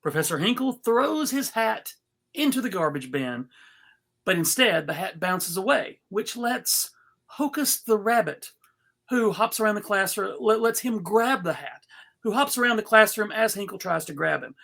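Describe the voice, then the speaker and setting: A woman's voice: medium pitch, expressive, normal speed, creaky, a bit bright. A woman reading stage directions as narrator in a live, comedic script read.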